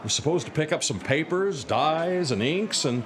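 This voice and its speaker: A man acts out an excitable police inspector in a radio play. The voice is fairly high and leaps around in pitch. He blusters and stammers, forever flustered. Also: moderate pace, background noise, lightly acted. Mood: worried. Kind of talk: live radio-play acting. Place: public library live performance before audience.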